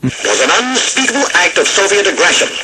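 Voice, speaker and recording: A man's voice: high voice, big pitch swings, a little fast, sharp tone, exaggerated voice. Man playing grave radio news anchor, noisy broadcast. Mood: grave, urgent. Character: grave male American network radio news anchor during nuclear war. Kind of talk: scripted radio news bulletin acting.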